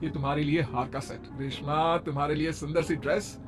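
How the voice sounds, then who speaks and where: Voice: medium pitch, fairly even intonation, fairly quick, music in the background, voice pushed down, natural voice. The storyteller voicing a firm, protective elder brother in a cartoon story, speaking in an instructing manner. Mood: kindly. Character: stern elder brother, protective but quick-tempered young man.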